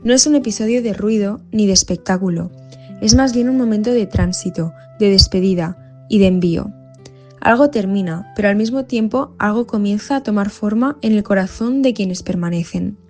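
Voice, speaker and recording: A woman presenting a podcast in scripted narration, with reflective, measured phrasing. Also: medium pitch, dark tone, faint music behind. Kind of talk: scripted narration, episode introduction.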